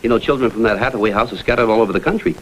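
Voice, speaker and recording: An actor playing a cool, deliberate investigator, talking in a measured, matter-of-fact way in a vintage crime drama. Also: male voice, on the low side, a little hiss or hum, lightly acted, poor audio. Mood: dry, explaining.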